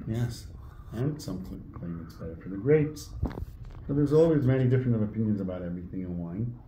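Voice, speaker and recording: Older guy in his 60s, deep soft-edged voice, explaining stuff casually, bit halting, echoey room. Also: lively intonation. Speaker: winemaker, interview guest. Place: indoor room at a table. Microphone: phone camera across a table. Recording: roomy phone recording, faint background noise.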